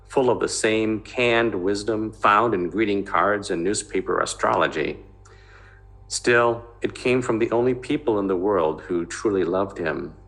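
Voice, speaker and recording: Male fiction narrator: dramatic reading, fairly low pitch, bright and forceful tone. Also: poor audio.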